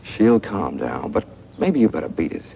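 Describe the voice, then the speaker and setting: An actor playing a smooth-talking fugitive killer who poses as a friendly big brother, coaxing and persuasive in 1940s radio thriller style. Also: male voice, fairly low pitch, very animated, normal speed. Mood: coaxing, urging. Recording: muffled, noisy old radio recording.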